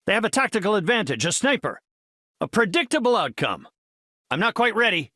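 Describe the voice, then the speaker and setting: Casting: earnest, brainy middle-aged superhero scientist, video game; formal, articulate delivery, very dry close recording. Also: male voice, high-pitched, sing-song, a little fast, bright, forceful tone, no echo, heavily acted. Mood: urgent, focused.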